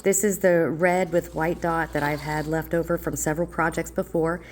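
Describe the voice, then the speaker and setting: A middle-aged woman, slightly congested, explaining a craft project step by step in a calm, plain, practical way. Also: sits low, moderate pace, a little hiss or hum. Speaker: craft tutorial host.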